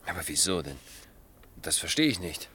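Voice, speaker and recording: A man's voice: low voice, sing-song, moderate pace, sharp tone, slight background noise, full character voice. An actor playing an earnest, troubled man with a wry, sceptical streak, questioning others in a German radio play. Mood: incredulous. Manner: earnest, questioning, dryly ironic.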